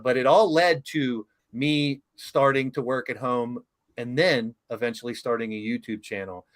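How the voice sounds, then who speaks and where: A man's voice: medium pitch, normal speed. A middle-aged man hosting a talk show interview, chatting casually in an enthusiastic, emotional, rambling way.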